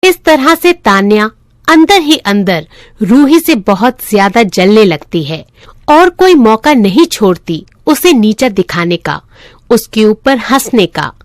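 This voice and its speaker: High-pitched animated woman storyteller. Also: slow pace, no room sound, studio quality. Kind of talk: scripted children's moral story narration.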